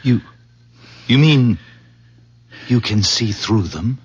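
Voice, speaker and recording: A man's voice: mid-range voice, animated, takes it slowly, slightly rough, heavily acted. A man acting in a radio drama as a protective, down-to-earth village nephew, emphatic and questioning. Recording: dull, muffled old radio recording.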